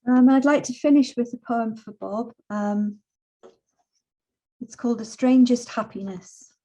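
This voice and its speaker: A woman in her sixties reading and introducing her own poetry in a measured, expressive way. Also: a little high, low-quality recording. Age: 60s. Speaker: poet.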